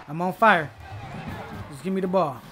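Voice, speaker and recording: A man with a high voice streams a video game with faint music behind him. He talks in casual slang, hyping himself up and repeating catchphrases as he plays. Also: moderate pace, a crowd in the background. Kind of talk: excited gameplay commentary, casual slang.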